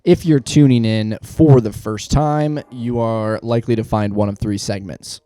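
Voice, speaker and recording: A man's voice: lowish voice, fairly even intonation, moderate pace, dark tone, no room sound. A man hosting a podcast, talking casually and bantering with his co-host. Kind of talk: casual banter and show intro.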